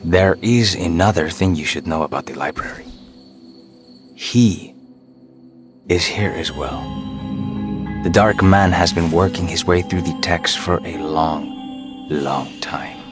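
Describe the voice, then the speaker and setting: An actor playing a serene, enigmatic author who serves as a guide in a video game, speaking in a measured, formal, philosophical way. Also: male voice, sits low, over music. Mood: grave, helpful. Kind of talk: cinematic game dialogue.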